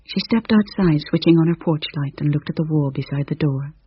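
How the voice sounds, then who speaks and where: A woman with a very breathy, husky voice reads a suspenseful story in hushed tones. The recording is dry and close, with a slightly dull sound. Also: sits low, brisk, no room sound. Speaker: narrator. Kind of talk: storybook narration.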